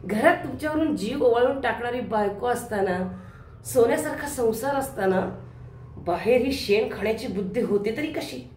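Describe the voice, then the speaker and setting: A woman's voice: fairly high pitch, expressive, moderate pace, darkish tone, slight background noise, close to an everyday voice. A woman acting on stage as a young daughter who is coy, sarcastic and reproachful. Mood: bitter, confronting. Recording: echoey hall, distant microphone.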